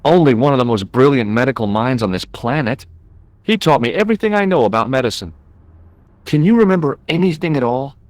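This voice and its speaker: An actor playing an earnest, wry young American amateur sleuth in an adventure game, speaking with an American accent. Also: male voice, mid-range voice, animated, fairly quick, darkish tone, no room sound, natural voice. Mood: confident bluffing. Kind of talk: adventure game dialogue and narration.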